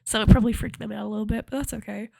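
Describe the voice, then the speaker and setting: Croaky, gravelly young woman. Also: a little high, steady tone, rapid-fire, warm tone, no echo. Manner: rambling, chatty, laughs often. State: a little croaky. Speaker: cosplay vlogger. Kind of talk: casual chat to camera.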